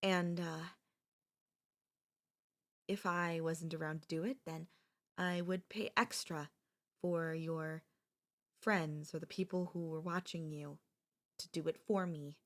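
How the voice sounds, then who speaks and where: A low-pitched, soft voice belongs to an obsessive young childhood friend, as a woman plays her in an ASMR roleplay. She talks with a sweet, calm menace and often sinks to a whisper. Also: takes it slowly, pauses often. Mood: calmly chilling.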